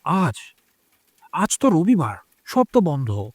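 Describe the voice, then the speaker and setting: An actor playing a chatty, concerned, insistent older gentleman in an audio story. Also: fairly high pitch, slow pace, slightly rough, dry recording, clean studio sound, exaggerated voice. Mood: friendly, curious. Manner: expressive, exclaiming, familiar. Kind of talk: audio drama acting.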